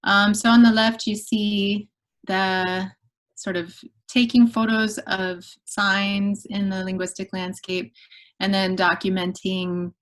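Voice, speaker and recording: Middle-aged woman, academic lecturer on a video call; thoughtful, measured delivery with filler pauses. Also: medium pitch, flat delivery. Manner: thoughtful, measured, with frequent ums. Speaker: guest lecturer.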